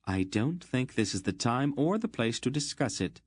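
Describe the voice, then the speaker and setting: A man's voice: medium pitch, very animated, moderate pace, no room sound, pitched above the performer's own voice. A man doing a cold, ancient sorceress. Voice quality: cold, clipped, scathing. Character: ancient stern sorceress woman, cold and commanding.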